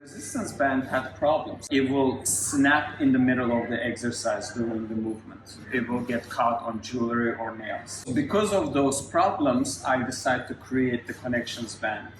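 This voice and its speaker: Man, Turkish accent, mid-pitched voice, earnest explaining, echoey room, faint hiss. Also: normal speed, hardly any pauses. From Turkey. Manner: earnest, explains step by step with small grammar slips. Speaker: Pilates educator and inventor. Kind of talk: casual interview.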